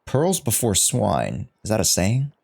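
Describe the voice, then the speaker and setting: Low-voiced, unhurried man chatting on stream. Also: huge pitch swings, vocal fry, a little mellow, breathy edge. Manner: rambling, joking, slangy, reads game dialogue aloud. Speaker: livestreamer. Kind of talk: casual game-stream reaction chat.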